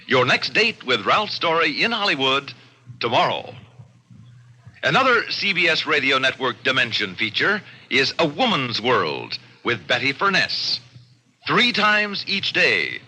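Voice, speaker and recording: A man's voice: medium pitch, pushed and bright, a little hiss or hum, rough recording. A man reading scripted announcements in a formal, polished, emphatic old-time radio style. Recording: old network radio broadcast, faint music.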